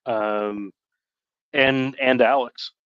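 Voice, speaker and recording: Low-voiced man, halting and rambling. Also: fairly even intonation, a bit bright, clear, resonant tone, dry recording, muffled recording. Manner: halting, rambling, self-correcting. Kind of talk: casual live stream chat.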